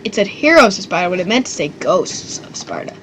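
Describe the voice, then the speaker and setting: A woman hosting her own channel, giving loose, excitable commentary on a strategy game battle, rambling and swearing now and then. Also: on the low side, a little fast, a little hiss or hum, low-quality recording. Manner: rambling and excitable, swears, does silly voices. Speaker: gaming channel host.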